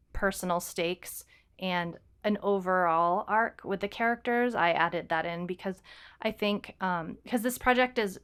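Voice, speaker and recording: A woman's voice: fairly low pitch, fairly bright tone, clear, resonant tone. A woman co-hosting a podcast, talking casually and explaining things in an informal way. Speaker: podcast co-host and fiction writer.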